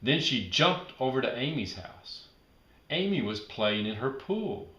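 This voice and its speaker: A man narrating a children's storybook aloud in an expressive, emphatic storytelling manner. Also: mid-range voice, measured pace, reverberant, lo-fi sound.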